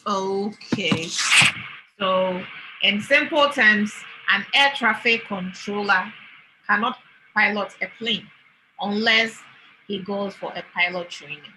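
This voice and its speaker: Woman, live Q&A host, mid-pitched and piercingly bright, slight background noise. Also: slow, low-quality recording. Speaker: host relaying audience questions. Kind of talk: live moderated question session.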